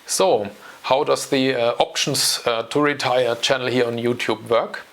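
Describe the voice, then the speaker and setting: German-accented man in his forties, gravelly voice. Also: mid-range voice, steady tone, measured pace, a bit bright, faint music behind. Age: 40s. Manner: hesitant, many ums and uhs. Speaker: channel host. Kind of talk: casual talk to camera. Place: home.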